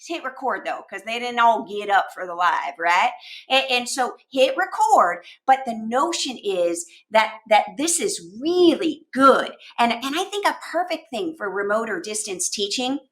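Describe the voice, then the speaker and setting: Bright, high-voiced woman explaining with emphasis. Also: unhurried. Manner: emphatic, animated, with rhetorical questions. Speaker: education consultant answering teachers' questions. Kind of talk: conversational instructional talk to camera.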